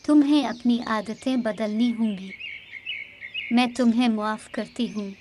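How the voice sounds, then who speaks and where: A woman acts as a principled mother sparrow in a cartoon bedtime story. The voice sits fairly high, with a firm, scolding and forgiving manner. Birdsong and light noise run behind it. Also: steady tone, unhurried, close to an everyday voice. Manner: scolding, moralizing. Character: hardworking, stern but forgiving mother sparrow. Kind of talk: cartoon storybook character dialogue.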